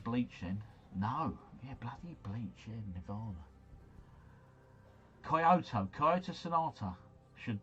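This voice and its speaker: A man's voice: mid-range voice, highs cut off, a little hiss or hum. A man hosting a livestream, playing a quiz game while chatting with viewers, reading out their messages, and stumbling over foreign names. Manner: chatty, jokey, reads chat messages aloud, mumbles foreign names. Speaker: livestream host.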